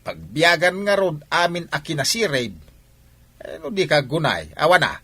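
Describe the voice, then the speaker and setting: A man's voice: fairly high pitch, sing-song, normal speed, bright, forceful tone, slightly rough, dry recording, full character voice. A man with an Ilocano accent playing a crafty older father in a radio drama. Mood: defensive, crafty. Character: crafty older father plotting with his daughter.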